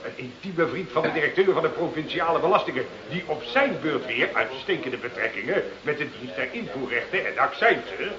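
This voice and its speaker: A man's voice: fairly high pitch, lively intonation, brisk, few pauses, a crowd in the background, lightly acted. A man acting in a Dutch radio play as a sly, pompous small-town mayor, officious, hemming and full of insinuation. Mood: scheming, confiding. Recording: muffled, echoey old radio drama recording.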